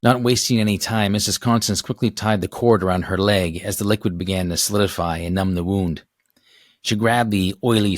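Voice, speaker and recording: Low-voiced man narrating a storybook. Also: no echo, studio quality. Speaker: author reading own book aloud.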